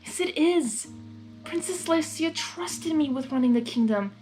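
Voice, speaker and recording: Girl doing an upset earnest pony princess, high voice, cartoon story. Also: animated, moderate pace, a little mellow, soft background music. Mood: guilty. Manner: distressed, earnest. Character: anxious, earnest young female pony princess.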